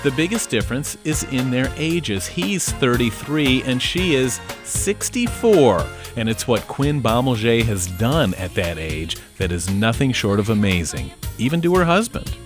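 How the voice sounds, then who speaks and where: This is a man reading a scripted news feature with an animated, storytelling lilt. His pitch moves around a lot. A clear music bed plays beneath the voice. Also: measured pace, few pauses. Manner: lively storytelling delivery. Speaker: reporter, narrator. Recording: voice-over over music.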